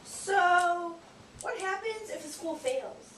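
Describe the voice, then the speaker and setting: A woman voicing a cheeky, naive, excitable puppet sidekick in comic scripted banter. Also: extremely high pitch, takes it slowly, heavily acted. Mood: curious, cheeky. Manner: playful, exclamatory, wisecracking. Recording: echoey, distant, noisy room.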